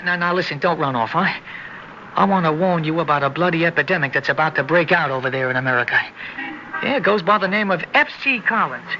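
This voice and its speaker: A man's voice: fairly high pitch, pushed and bright. An actor playing a cheeky, chatty young man in an old radio drama, talking in a wheedling, pleading way. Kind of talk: 1960s radio drama acting. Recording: dull lo-fi old radio recording with hiss.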